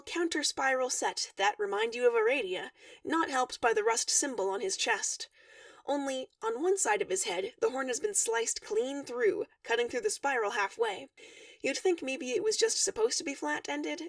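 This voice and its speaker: A woman giving an expressive, animated read-aloud of a story, acting out the dialogue. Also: sits high, gravelly, no echo, distant mic. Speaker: fan fiction reader.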